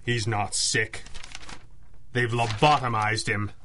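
An actor playing a sober, analytical masked crimefighter in a 1930s-style radio drama, crisp and formal. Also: male voice, on the low side, steady tone, takes it slowly, bright, forceful tone, slight background noise. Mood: grave, urgent.

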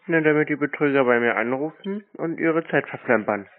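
A man chatting casually in a curt, deadpan, mocking manner as he baits callers. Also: on the high side, fairly quick. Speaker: scambaiter taking scam calls. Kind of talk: casual phone chat. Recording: phone-like sound, faint noise, clicks.

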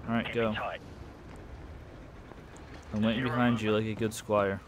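Low-voiced, grumbling male gamer. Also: flat delivery, measured pace, background noise. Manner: slangy, exasperated grumbling. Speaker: video game player and commentator. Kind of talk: casual gameplay commentary. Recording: game gunfire behind the voice. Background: shooter game gunfire and military chatter.

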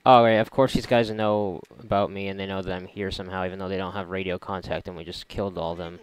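A man's voice: low voice, steady tone, quick pace, clear, resonant tone, poor audio. A man giving casual, sarcastic, rambling and profane commentary as he plays a video game. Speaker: gamer commentating on a game playthrough. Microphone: close mic, voice-over on gameplay.

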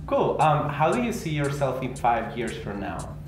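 Guy hosting an interview, animated voice, music playing under it. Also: medium pitch, moderate pace, echoey room. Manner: cheerful, laughs often, brief questions. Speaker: interview host. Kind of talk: casual interview questions. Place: indoor office lounge. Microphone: lapel mic.

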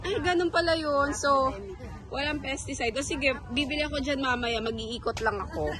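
A woman in her thirties with a Filipino accent, vlogging outdoors on a phone at arm's length, excitable and gushing, mixing English into Tagalog. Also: high voice, moderate pace, a crowd in the background. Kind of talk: casual travel vlog. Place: outdoors at a town plaza and market. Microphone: phone held at arm's length.

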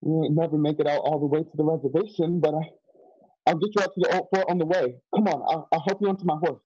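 A man's voice: fairly high pitch, fairly even intonation, fast talker, mellow, husky, no room sound. A young man playing an earnest, frightened frontier youth in a radio western, stammering and hesitant in rustic country speech. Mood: urgent, soothing. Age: young adult. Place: home room on a video call. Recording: dull video-call audio.

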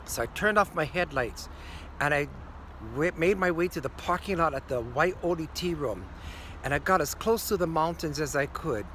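An older man with a Hawaiian accent telling a ghost story to the camera, slowing down and going quiet at the key moments. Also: on the high side, animated, slight background noise. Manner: slow, hushed at key moments. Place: green screen studio. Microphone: facing camera at close range.

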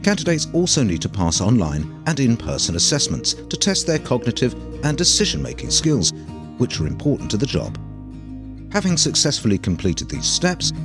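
A man reading scripted documentary narration in a formal, informative manner. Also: mid-range voice. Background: guitar music.

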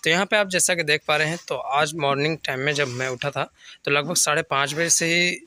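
Bright-voiced Bihari dairy farmer vlogging. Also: male voice, on the high side, brisk, no echo. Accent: Bihari Hindi. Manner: conversational, explanatory, mixes English words. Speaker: dairy farmer and channel host. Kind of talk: casual walkthrough vlog. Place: open-sided cattle shed outdoors.

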